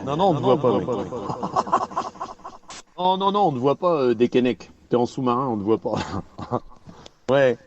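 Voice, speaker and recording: A man's voice: mid-range voice, lively intonation, moderate pace, long pauses. A man chatting over CB radio with crude, mocking banter and frequent laughter. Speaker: CB radio caller. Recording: muffled CB radio with static.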